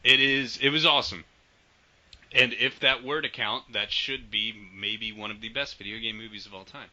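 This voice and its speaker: A man hosting a casual podcast, enthusiastic and swearing often, trailing off quietly at the ends of sentences. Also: medium pitch, normal speed. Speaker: podcast host. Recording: dull lo-fi audio.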